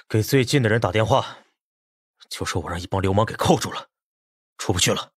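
A man's voice: on the low side, a little fast, dry recording, clean studio sound, close to an everyday voice. A man playing a tense, stern older brother in an audiobook, terse and urgent. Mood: stern scolding.

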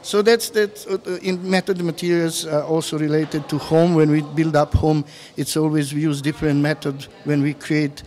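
Male artist in his fifties, halting interview talk, slightly raised pitch, faint room hum. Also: little pitch movement, a little mellow. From former Yugoslavia. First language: Serbian. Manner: halting, many fillers and restarts. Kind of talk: interview on camera. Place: gallery room indoors. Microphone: handheld mic held close.

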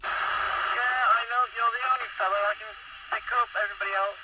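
A man's voice: high-pitched, steady tone, brisk. A man in a casual CB radio exchange, hesitant and using radio procedure, heard through a transmission. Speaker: CB radio caller. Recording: very noisy, dull, distant CB radio.

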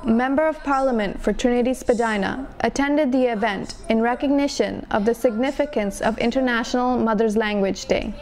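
A woman gives a scripted documentary voice-over in a measured, even delivery. Her voice sits a little high and reads clearly, like a studio narration laid over event footage.

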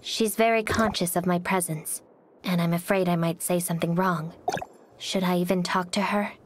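A woman voicing a cold, reserved, melancholy young swordswoman for a video game, speaking softly and breathily in a quiet, detached, formal manner. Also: fairly low pitch, fairly even intonation, moderate pace, background noise, lightly acted. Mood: cool, detached. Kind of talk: scripted game character voice lines.

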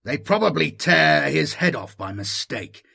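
A snappish military commander acted by a male narrator barks curtly in an audiobook. The voice is husky and brusque, used to giving orders. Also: on the high side, very animated, measured pace, bright, forceful tone, pitched above the performer's own voice, full character voice.

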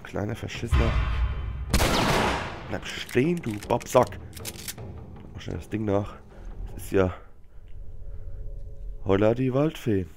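Young man with a cold, creaky low voice. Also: lively intonation, takes it slowly, long pauses, noisy background. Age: young adult. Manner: slangy, muttering, swearing. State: sick with a cold. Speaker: let's player hosting a gameplay series. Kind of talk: casual gaming commentary. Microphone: headset microphone. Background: game music and gunfire.

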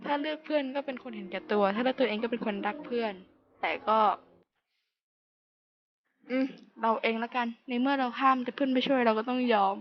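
High-voiced young woman doing let's-play commentary. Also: fairly quick, a little hiss or hum. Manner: playful, slangy, talks to herself and reacts aloud. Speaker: gamer playing through a horror visual novel. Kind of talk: casual let's-play commentary, reading game text aloud. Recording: dull lo-fi mic with loud music. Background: loud background music.

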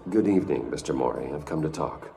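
A brooding billionaire vigilante for a video game. His voice is extremely low and full of gravel. He speaks in terse, guarded, commanding phrases with controlled intensity. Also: expressive, fast, dark tone, full character voice. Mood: firm, pressing. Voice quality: very deep, gravelly. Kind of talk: video game cutscene acting.

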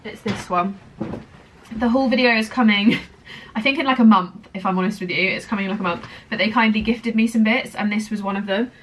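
A woman's voice: medium pitch, a bit bright, distant mic, rough recording. A young woman rambling casually as a lifestyle vlogger, filming herself at home and in a car. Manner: chatty, rambling, self-interrupting. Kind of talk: casual chatty vlog. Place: home room, then in a car.

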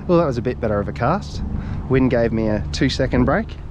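A man with an Australian accent, talking to himself in casual running commentary as he fishes from a reservoir bank, chatty and quick to laugh. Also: medium pitch, animated, background noise. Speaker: fishing vlogger. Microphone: head- or chest-mounted action camera.

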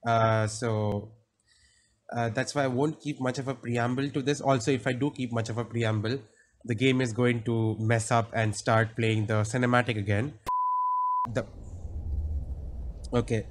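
A man's voice: mid-range voice, fairly even intonation, unhurried, pauses often, background noise. Young man with a sore throat, chatting. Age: young adult. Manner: chatty, joking, wry asides. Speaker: gameplay streamer. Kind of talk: casual let's-play commentary. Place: small cluttered room indoors. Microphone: studio mic on arm, headphones. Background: game music.

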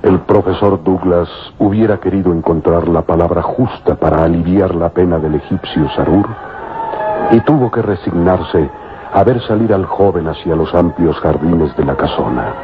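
A man narrating an old radio serial in a solemn, measured, dramatic style. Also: low voice, background noise. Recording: tinny old radio recording with music.

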